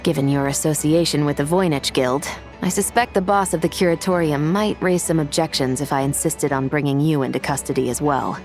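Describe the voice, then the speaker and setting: Firm lady cop in a game, low voice, formal, bit of music behind. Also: a little mellow. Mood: businesslike. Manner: measured, formal, matter-of-fact. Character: firm, fair-minded female law officer, composed and authoritative. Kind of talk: video game character acting.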